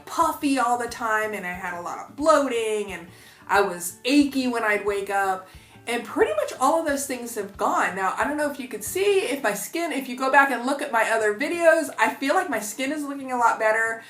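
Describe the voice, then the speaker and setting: A woman's voice: a little high, fairly bright tone, echoey room. A woman in her fifties vlogging in a chatty, upbeat way, full of fillers and asides to her viewers. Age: 50s. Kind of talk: casual talk to camera.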